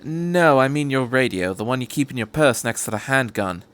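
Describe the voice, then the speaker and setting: A man voicing a brisk, quirky time-travelling alien in a story reading, breezy and matter-of-fact in conversation. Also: medium pitch, expressive, a bit bright, lightly acted.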